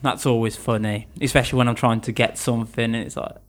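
A man's voice: mid-range voice, steady tone, quick pace. Chatty young English man. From England. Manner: chatty, laughs easily, lots of restarts. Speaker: English teacher, guest. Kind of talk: casual interview chat.

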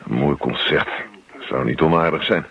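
A man's voice: rumbling low, moderate pace, slightly rough, faint music behind, exaggerated voice. An actor playing a grumpy, put-upon middle-aged man in a radio play, grumbling and muttering reluctant asides about his neighbour. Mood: irritated, grumbling. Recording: dull lo-fi radio play recording.

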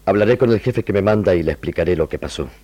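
An actor playing an honorable, humane army lieutenant with earnest, measured, formal phrasing in a radio drama. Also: male voice, low-pitched, warm tone, no room sound. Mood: earnest, sober.

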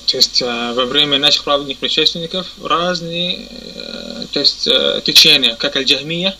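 A man teaching a religious lesson. He pauses often, corrects himself, and keeps asking if his listeners understand. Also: on the high side, huge pitch swings, gravelly, bright, forceful tone, a little hiss or hum, rough recording. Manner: halting, many fillers and self-corrections. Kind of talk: explanatory lesson.

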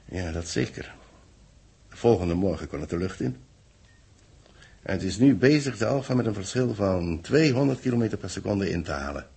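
A very husky, low male voice playing a grave official in vintage radio drama. He speaks deliberately and formally, with a gravelly creak, like someone weighing a troubling report. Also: lively intonation, darkish tone, exaggerated voice. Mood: grave, pondering. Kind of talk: 1960s Dutch radio play acting. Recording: muffled-edged vintage 1960s radio recording.

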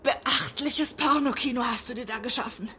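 An actress playing a wounded, furious wife in a radio drama, emotional, scornful and accusing. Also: female voice, a little high, moderate pace, noisy background, natural voice. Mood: bitter scorn. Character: furious, wounded wife raging at her unfaithful husband. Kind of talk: intense radio drama acting.

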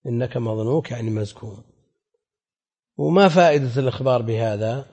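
A man's voice: medium pitch, fairly quick, darkish tone, husky, highs cut off. A man teaching a religious class as a scholar, explaining in a didactic, conversational way and often putting questions to his students. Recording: echoey hall with long reverb.

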